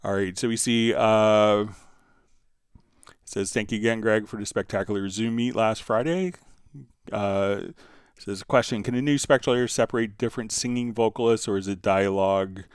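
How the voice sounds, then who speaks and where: A man hosting a live software tutorial in an informal, conversational way, thinking aloud as he demonstrates. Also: fairly low pitch, steady tone, moderate pace, clean tone, dry recording, studio quality. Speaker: livestream host and software instructor.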